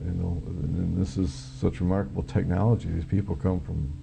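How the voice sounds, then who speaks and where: A middle-aged American man giving personal testimony in an interview, plain-spoken and a little halting as he recollects. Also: deep voice, flat delivery, creaky, music in the background. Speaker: former military air traffic controller, witness.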